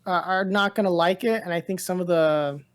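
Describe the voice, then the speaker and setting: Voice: mid-range voice, moderate pace. Rambling pop culture podcast co-host. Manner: rambling, repeats phrases. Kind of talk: casual pop culture chat.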